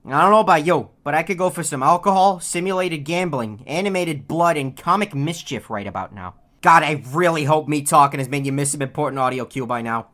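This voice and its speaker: An actor playing a snarky, self-aware video game character who taunts the player with sarcastic, condescending, deadpan lines. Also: male voice, on the high side, a little fast, hardly any pauses. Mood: smug taunting.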